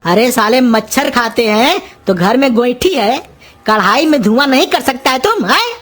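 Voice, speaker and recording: A woman voicing a bossy, quick-tempered old uncle in a comedy cartoon, scolding and threatening. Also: fairly high pitch, expressive, a bit bright, soft background music. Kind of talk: Hindi cartoon comedy voice acting.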